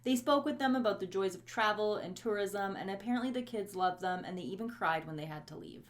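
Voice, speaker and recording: A woman's voice: mid-range voice, fairly quick. Woman from Bosnia co-hosting a podcast. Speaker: podcast co-host. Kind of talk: true-crime podcast narration.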